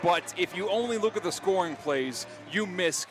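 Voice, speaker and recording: A man's voice: sits high, expressive, moderate pace. A man calling a live football broadcast as play-by-play announcer, with emphatic, wordy sportscaster phrasing. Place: outdoor stadium broadcast position. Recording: live stadium broadcast with crowd noise. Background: stadium crowd.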